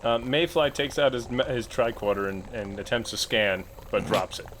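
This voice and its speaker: A man's voice: medium pitch, normal speed. A man chatting casually as a tabletop game player, in hesitant stop-start phrases. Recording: clear background noise.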